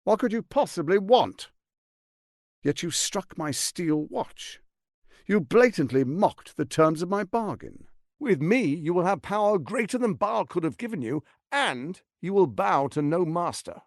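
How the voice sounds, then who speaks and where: An actor playing a scheming, arrogant middle-aged tyrant lord in a video game, with a pompous, silky, menacing delivery. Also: male voice, sits high, very animated, unhurried, no echo, studio quality, heavily acted. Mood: persuasive, grandiose. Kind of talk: theatrical video game voice acting.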